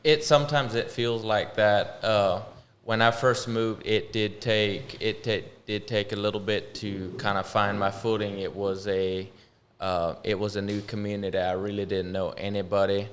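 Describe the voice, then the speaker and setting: Low-voiced man, casual talk. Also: little pitch movement, normal speed, slight background noise. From Arkansas, USA. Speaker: shed company co-owner, interview guest. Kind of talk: casual conversational explaining.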